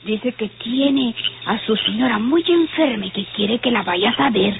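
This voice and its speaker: An actress playing a doctor's timid, deferential wife in an old radio drama. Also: female voice, fairly high pitch, a little slow, vocal fry. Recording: tinny, noisy old radio recording.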